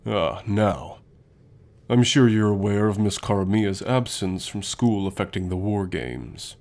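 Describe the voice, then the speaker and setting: A man's voice: lowish voice, animated, measured pace, vocal fry, darkish tone. A man playing a stern, secretive academy professor with a formal, authoritative manner in an audio roleplay. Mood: stern, commanding.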